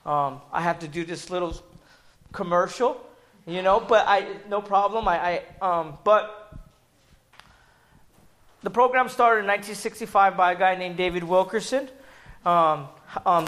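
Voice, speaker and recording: Loud earnest guy preaching, lots of ums, pretty high voice. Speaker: ministry public relations speaker. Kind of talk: testimony sermon.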